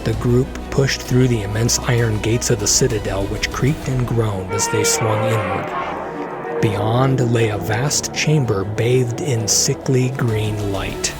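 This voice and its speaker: A man's voice: on the low side, slow pace. A man giving solemn, dramatic scripted narration of a fantasy story. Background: loud music.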